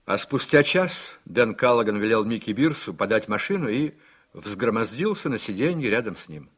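A man's voice: on the low side, lively intonation, moderate pace, fairly bright tone, slightly rough. A man narrating a Russian radio play in a scripted, storytelling style.

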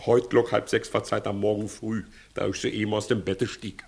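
An actor in a 1960s German radio comedy in verse, playing an evasive village judge who fawns upward and barks downward. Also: male voice, lowish voice, little pitch movement, normal speed, vocal fry, darkish tone. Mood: evasive, theatrical. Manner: servile to superiors, barking at underlings.

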